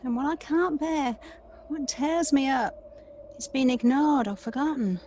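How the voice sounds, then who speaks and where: A woman's voice: high voice, a little slow, a little mellow, slight background noise. A woman playing an anxious, needy, emotional sister in an audio play. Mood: hurt, pleading.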